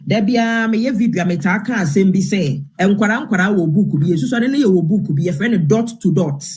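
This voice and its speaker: Male Ghanaian commentator, raised pitch, rough-edged voice, informal and emphatic delivery. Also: brisk, darkish tone. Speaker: political commentator. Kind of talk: informal political commentary, mixing Twi and English.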